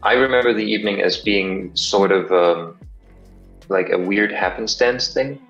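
A man's voice: fairly low pitch, measured pace, fairly bright tone, muffled recording, soft background music. A young man from Denmark with a lightly Danish, somewhat American-sounding English accent, chatting casually over a video call in a thoughtful, self-deprecating way with many fillers. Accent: Danish, slightly American-sounding English. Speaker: guest partner. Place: small bright room indoors.

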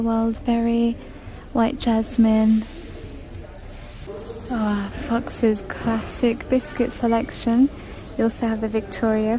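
A woman's voice: fairly high pitch, warm tone. A woman vlogging a shop walk-through, chatting casually about items and reading out prices in a large store. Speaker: shopping vlogger. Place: large indoor discount store. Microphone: handheld phone camera.

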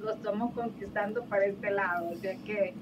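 A woman's voice: mid-range voice, fairly even intonation, moderate pace, noisy background. A middle-aged woman from El Salvador with a Salvadoran accent, a talkative guest on a video-call interview who laughs easily. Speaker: guest, financial advisor. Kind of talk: interview conversation. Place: office. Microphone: video call webcam.

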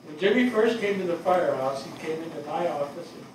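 An older man acting a grieving, earnest, plainspoken veteran fire captain in a halting stage reading. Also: a little high, animated, natural voice. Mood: earnest, eulogizing. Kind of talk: dramatic stage reading of a play. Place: small theatre stage before seated audience. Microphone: distant camera microphone. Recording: echoey hall, distant mic, clear noise.

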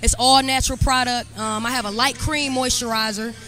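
A woman's voice: on the high side, fairly even intonation, brisk, bright, forceful tone, soft background music. A woman in her twenties from Detroit, a barber talking confidently and casually about her hair products. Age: 20s. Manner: upbeat, confident, slangy. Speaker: barber, interview guest. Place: event backdrop at a barber competition. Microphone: handheld mic.

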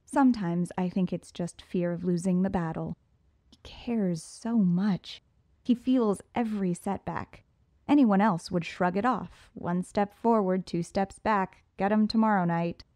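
A woman's voice: medium pitch, dark tone, no echo. A woman reading an audiobook in the first person, with poised, sultry storytelling, recorded on a close studio mic. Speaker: audiobook narrator.

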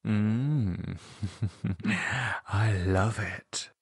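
A haughty British woman, as a male narrator puts her on in a gothic audiobook. She is sensual, arch and sardonic, pitched high with wide swoops, in a dry close recording. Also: sing-song, unhurried, no room sound. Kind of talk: audiobook character dialogue.

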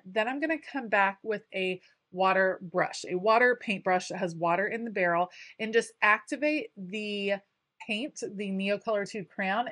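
A woman's voice: mid-range voice, fairly bright tone, no room sound. A woman talking casually over her craft footage, chatty and enthusiastic with frequent ums. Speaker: craft video creator.

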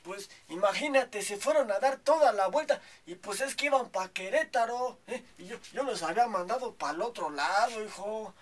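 A man's voice: high voice, lively intonation, normal speed, few pauses. A man playing a boastful, preachy old Mexican grandfather who rambles and keeps adding "hijo, ¿eh?", in a comedy sketch. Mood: rambling. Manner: rambling, tags nearly every phrase with "hijo, ¿eh?".

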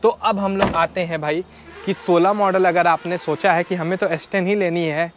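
High-voiced young man showing cars. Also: steady tone, normal speed, noisy background. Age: young adult. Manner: chatty, mixes English phrases, addresses viewers as brothers. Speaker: car vlogger host. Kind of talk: casual walkaround car showcase. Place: outdoor used-car lot. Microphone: clip-on lapel mic.

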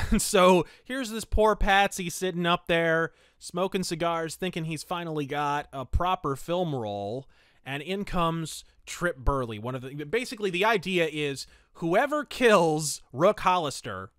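A man's voice: a little high, expressive, measured pace, a bit bright, clear, resonant tone, dry recording, clean studio sound. A man hosting a podcast, casually reviewing and recapping stories in a chatty, digressive way with emphatic asides. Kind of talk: casual review and plot recap of pulp fiction.

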